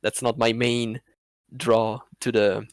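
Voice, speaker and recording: A man as a podcast guest, telling a story in a rambling, animated way with laughs between phrases. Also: medium pitch, slow pace, no room sound, clean studio sound. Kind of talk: casual podcast chat.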